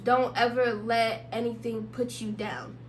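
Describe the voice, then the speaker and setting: A woman's voice: on the high side, little pitch movement, a little slow. A woman podcast co-host in casual, joking chat, a little sick with a tickle in her throat. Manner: laid-back, joking, slangy. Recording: distant mic, faint hum.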